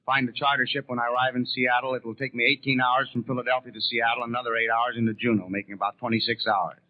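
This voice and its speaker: A man answers questions on a slightly muffled 1930s radio broadcast. His voice is of average pitch. He speaks as a polite, measured delegate, hesitating as he begins. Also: level tone. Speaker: convention delegate being interviewed.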